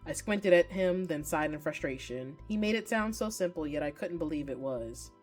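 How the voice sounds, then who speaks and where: A woman's voice: deep voice, animated, moderate pace, darkish tone, faint music behind. A woman reading aloud as a wary, weary young man narrating a visual novel, guarded and dry. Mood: suspicious.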